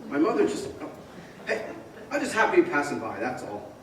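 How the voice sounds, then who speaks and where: A man's voice: fairly high pitch, big pitch swings, fairly quick, crowd noise. Echoey, restless young man in radio drama, acted. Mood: flustered. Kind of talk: old-time radio drama stage acting. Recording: echoey theater, distant mic, faint noise.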